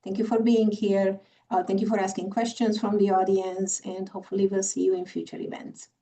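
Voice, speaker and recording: A woman's voice: mid-range voice, little pitch movement, fairly quick, dark tone, far from the mic. A Hungarian woman with a Hungarian accent moderating a virtual conference, apologetic and hesitant, with many fillers. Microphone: video call.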